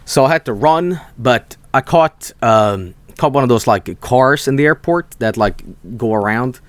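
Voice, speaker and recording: A man's voice: medium pitch, a little slow, no echo. A young man from Sweden, telling rambling stories on a casual stream, swearing freely and restarting his sentences.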